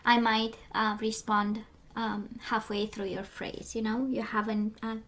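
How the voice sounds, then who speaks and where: A woman's voice: on the high side, little pitch movement, measured pace, a bit bright. A woman giving a casual tarot reading as she loses her voice, rambling with many fillers and sometimes dropping to a whisper. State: losing her voice. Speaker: tarot reader.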